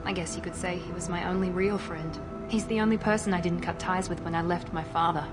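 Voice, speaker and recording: A woman's voice: fairly low pitch, steady tone, brisk, lightly acted. A woman playing a cool, controlled operative. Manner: crisp, controlled, clipped. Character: cool, guarded female operative and squad mate. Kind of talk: video game cutscene dialogue. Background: game score music.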